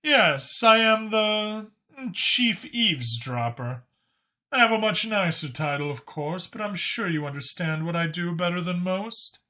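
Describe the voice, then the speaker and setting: Haughty dragon official, acted by a male narrator: raised pitch, bright and forceful, dryly exasperated, formal audiobook delivery. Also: animated, a little slow, heavily acted. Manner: precise, haughty, sardonic.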